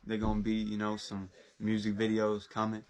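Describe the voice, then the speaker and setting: A young man from talks in a low, even voice that barely rises or falls. He rambles casually and drops plenty of street slang as he speaks about himself. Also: moderate pace. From Orlando, Florida. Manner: laid-back street slang, loose and rambling. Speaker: rapper. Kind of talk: casual first-person talk.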